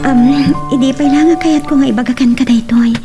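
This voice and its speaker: A woman acting a humble young woman, hesitant and worried, speaking softly with an Ilocano accent in a radio romance drama. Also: high voice, fairly even intonation, a little fast, over music, lightly acted. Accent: Ilocano, Philippines.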